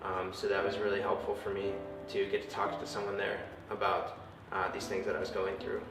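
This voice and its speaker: A young man, a university student, giving a personal testimony in hesitant, measured phrases. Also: lowish voice, monotone. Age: young adult. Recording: echoey room, distant mic, music.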